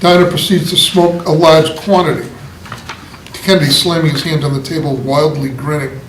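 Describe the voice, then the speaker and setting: A man with a slightly high voice reads the stage directions in a plain, even way. The room gives a clear echo, and faint noise sits behind him. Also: normal speed.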